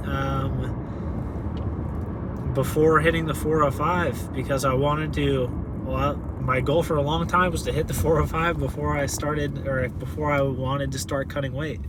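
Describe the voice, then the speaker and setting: A young man in his twenties chats to his camera outdoors, loosely and full of fillers and restarts. His voice sits a bit high for a man. Cars and street noise run clearly behind him. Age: 20s. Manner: rambling, filler-heavy, with many "like"s and restarts. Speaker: fitness vlogger. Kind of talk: casual vlog talk to camera. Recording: noisy outdoor ambience with cars.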